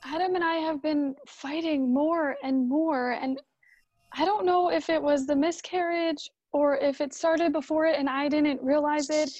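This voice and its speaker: A troubled, weary young wife and daughter , acted by a woman in a radio drama. Her voice is high and hesitant, on a dull, lo-fi recording with no room echo. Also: fairly even intonation, a little slow, darkish tone. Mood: unsure, downcast. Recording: dull, lo-fi sound.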